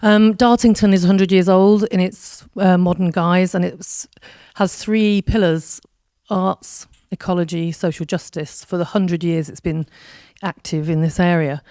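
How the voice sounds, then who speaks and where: A woman in the audience asking a long, meandering question into a handheld mic. Her voice is dry and close, and she hesitates and lets her phrases trail off quietly. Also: fairly low pitch, steady tone, a little slow, no echo. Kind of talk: question from the floor.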